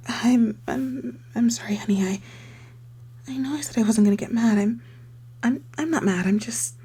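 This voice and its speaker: A woman playing an unstable, lovesick young circus girl turned kidnapper in an intimate ASMR roleplay, speaking haltingly in a breathy voice that slips into whispers. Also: on the high side, a little mellow. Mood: frantic, apologetic. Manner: intimate, halting, stammering, swinging from sweet to desperate. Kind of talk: scripted ASMR roleplay monologue.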